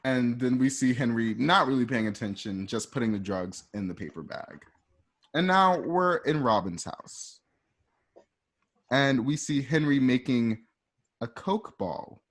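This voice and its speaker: Male radio drama narrator, mid pitch. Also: lively intonation, measured pace. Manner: present-tense, step-by-step storytelling. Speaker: narrator reading stage directions.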